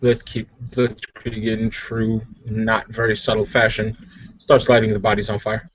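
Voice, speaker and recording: A man's voice: fairly low pitch, level tone, moderate pace, no echo, lo-fi sound. A man playing a tabletop roleplaying game, talking casually about his moves.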